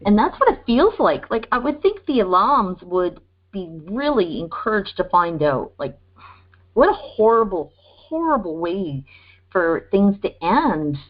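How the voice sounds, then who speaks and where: A woman's voice: mid-range voice, sing-song, unhurried. A woman speaking casually and a little hesitantly in a group phone discussion. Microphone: phone conference call. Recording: dull lo-fi conference call audio.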